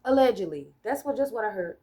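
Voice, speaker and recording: A woman's voice: mid-range voice, expressive, fast talker, dark tone. An American woman ranting casually on a solo vlog. She is blunt and profane, rambles, and loses her train of thought. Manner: talks things through with hypotheticals and "right?" checks. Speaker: solo vlogger. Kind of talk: casual political rant.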